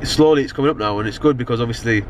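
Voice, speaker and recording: A young man with a British accent talks off the cuff to his camera inside a car. His voice sits at a medium pitch and he rambles in loose slang, doubling back on his sentences. Clear car and street noise runs behind him. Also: racing. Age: young adult. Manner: rambling, slangy, frequent "you know what I mean". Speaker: vlogger. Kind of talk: casual chat to camera. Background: car and outdoor urban noise.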